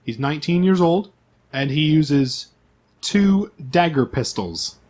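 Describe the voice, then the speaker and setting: A man's voice: a little high, animated, slow pace, a little mellow. A man acting as game master, reading out character profiles in an informal, list-like way with asides.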